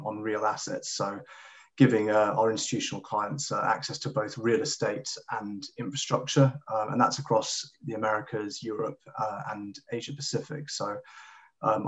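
A man's voice: fairly low pitch, low-quality recording. A man in his thirties who grew up in Kenya, a podcast guest talking with many fillers and restarts. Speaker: guest, sustainability head. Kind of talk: podcast interview.

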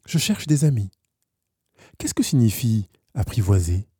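A man's voice: fairly low pitch, sing-song, measured pace, dark tone, husky, dry recording, clean studio sound, exaggerated voice. A man voicing a polite, innocent little boy prince in a storybook, asking simple questions. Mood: curious.

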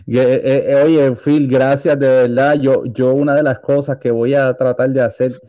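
A man's voice: mid-range voice, level tone, measured pace, warm tone, dry recording. A man hosting an interview who jokes in a friendly way with the guest and hands over the microphone. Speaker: host. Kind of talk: casual interview wrap-up.

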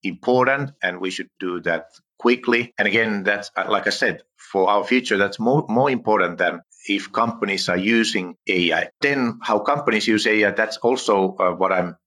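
A man's voice: on the low side, moderate pace, hardly any pauses, sharp tone. A man with a Finnish accent, answering interview questions earnestly, often searching for words and restarting. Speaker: guest, AI expert and author.

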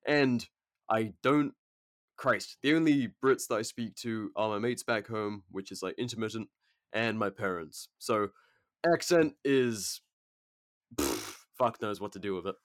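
A man's voice: fairly low pitch, a little slow, no room sound, clean studio sound. Sweary British man with a drifting accent. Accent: British English blended with American. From rural England. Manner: laid-back, sweary, laughs often. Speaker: podcast host. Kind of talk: casual podcast chat.

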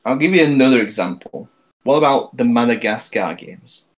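A man co-hosting a casual podcast chat, prompting the others and quick to laugh. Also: mid-range voice, lively intonation. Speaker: podcast co-host.

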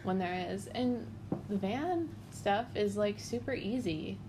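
A woman's voice: medium pitch, lively intonation, slow pace. A woman in her twenties chatting casually and upbeat, laughing often. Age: 20s. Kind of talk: casual chat. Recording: noisy background hiss. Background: dog chewing a bone.

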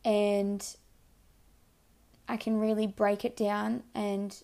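A young woman with a mellow, warm tone and a gravelly fry at the ends of her phrases. She talks in a loose, rambling way, circling back and correcting herself mid-thought, like a vlogger sharing her plans with viewers. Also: medium pitch, flat delivery, takes it slowly. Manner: rambling, self-correcting, full of likes. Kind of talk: casual personal storytelling.

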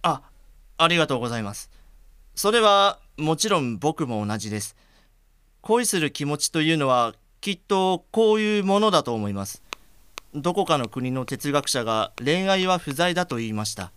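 A man's voice: on the high side, sing-song, moderate pace, fairly bright tone, clean tone, no room sound. A man playing an earnest, polite blind man shyly in love, in an audio drama.

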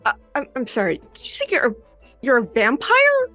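A woman's voice: high voice, wildly swooping pitch, moderate pace, a bit bright, soft background music, exaggerated voice. A woman playing a flustered, skeptical podcast interviewer in an improvised audio drama, stammering and muttering. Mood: stunned disbelief. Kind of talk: unscripted in-character audio drama.

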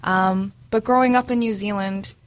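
A woman's voice: mid-range voice, fairly even intonation, normal speed, dry recording. Chatty young woman, laughing often. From Brunei. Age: 20s. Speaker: guest, junior doctor. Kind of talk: casual interview chat.

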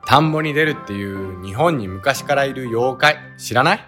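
An actor playing a laid-back young monster-spotting enthusiast who explains things matter-of-factly in a comic radio drama. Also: male voice, mid-range voice, lively intonation, normal speed, bright, forceful tone, soft background music. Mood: explaining. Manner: casual, matter-of-fact explaining.

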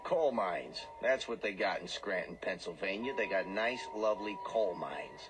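An actor in a radio drama playing a plainspoken bartender, sardonic and needling but friendly. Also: male voice, deep voice, lively intonation, normal speed, over music. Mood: wry.

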